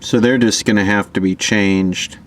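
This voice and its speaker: A man giving casual hands-on repair commentary, thinking aloud in an offhand, low-key way as an electronics hobbyist. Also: fairly even intonation, moderate pace, a little hiss or hum. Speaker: electronics repair hobbyist presenting his own video.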